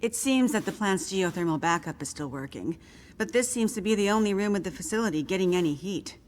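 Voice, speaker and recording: A woman playing a guarded older woman scientist in a video game, speaking in measured, formal, precise phrases. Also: medium pitch, animated, a little hiss or hum, natural voice. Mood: matter-of-fact. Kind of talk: video game cutscene acting. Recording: faint music behind the voice.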